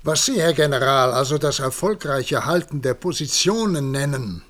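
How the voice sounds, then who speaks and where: An actor playing a grave, statesmanlike president in a radio play, speaking in a measured, formal, oratorical way. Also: male voice, medium pitch, animated, fairly bright tone, lightly acted. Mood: sober, worried. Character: grave statesmanlike male president of future humanity. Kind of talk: German radio play acting.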